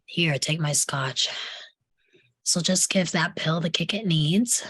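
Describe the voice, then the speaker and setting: A woman's voice: sits low, slow, fairly bright tone, husky, no echo, full character voice. A woman in a comedy table read playing a poised, wealthy, condescending friend in a breezy, patronizing way.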